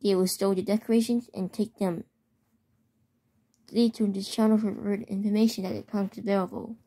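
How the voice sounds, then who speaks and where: Mellow-voiced woman reading a mock emergency alert. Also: mid-range voice, steady tone. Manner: halting reading with stumbles and repeats. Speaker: amateur announcer of a homemade alert. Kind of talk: scripted mock emergency alert read aloud.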